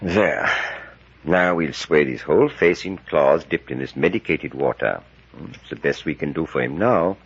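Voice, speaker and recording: A man's voice: extremely low pitch, animated, normal speed, husky, heavily acted. A man playing a commanding professor-surgeon in a 1940s radio drama, giving terse orders with muttered hesitations. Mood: grave, steady. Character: gruff, commanding professor-surgeon, calm under pressure. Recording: muffled vintage 1940s radio recording.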